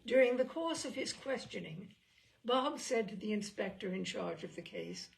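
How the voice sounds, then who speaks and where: A woman's voice: medium pitch, animated, normal speed, vocal fry, slight background noise, poor audio. A woman reading a story aloud with dry, wry storytelling. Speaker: reader of stories.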